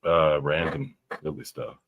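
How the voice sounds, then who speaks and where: A man in a casual livestream chat, joking in a halting way with repeated words. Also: rumbling low, little pitch movement, dry recording, narrow, tinny audio. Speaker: livestream guest.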